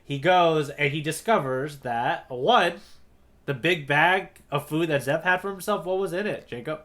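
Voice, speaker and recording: A man with a somewhat higher-pitched voice talks as a podcast co-host. He recaps in an informal, conversational way, often checking in with his partner. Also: moderate pace, fairly bright tone. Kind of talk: casual conversational story recap.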